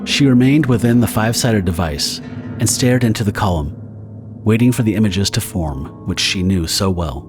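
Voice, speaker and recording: A man's voice: lowish voice, moderate pace, music in the background. A man narrating a dramatic story from a script.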